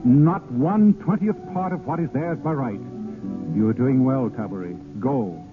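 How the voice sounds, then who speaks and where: An actor playing an ardent romantic poet turned grand marshal, with florid, theatrical old-time radio delivery. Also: male voice, medium pitch, animated, measured pace, close to an everyday voice. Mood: resolute, wistful. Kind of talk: 1930s-40s radio acting. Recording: muffled old radio broadcast, loud music.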